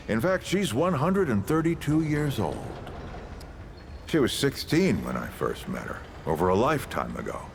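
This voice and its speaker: An actor playing an old, folksy, world-weary leader and storyteller in a video game, with a gravelly voice and unhurried delivery. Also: male voice, sits low, a little hiss or hum, full character voice. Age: older. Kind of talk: video game character dialogue.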